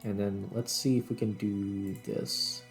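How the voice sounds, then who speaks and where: A man's voice: on the low side, flat delivery, unhurried, faint music behind. A man doing casual gameplay commentary as a host, thinking aloud and muttering his way through tasks. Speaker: host, gaming video creator.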